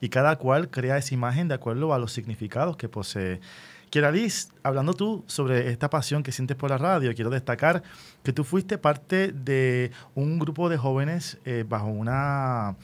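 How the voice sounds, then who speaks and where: A man's voice: medium pitch, expressive, moderate pace. A man conducting a conversational radio interview, speaking in an encouraging, explanatory manner.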